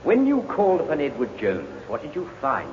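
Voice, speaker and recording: An actor playing a formal, probing prosecutor, courteous and theatrical, in a 1950s radio drama. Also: male voice, medium pitch, wildly swooping pitch, fairly quick, a little hiss or hum. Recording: tinny echoey old radio recording.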